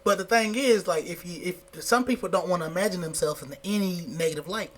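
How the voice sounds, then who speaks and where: A man in casual conversation, animated, stumbling over restarts as he explains. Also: high voice. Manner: animated, halting restarts, laughs often. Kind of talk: casual chat.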